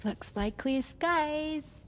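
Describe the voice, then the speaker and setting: A woman playing a gentle, wistful young woman in a video game cutscene, speaking softly and tenderly with playful hope. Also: sits high, lively intonation, extremely slow, a little hiss or hum, heavily acted. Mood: playful, hopeful.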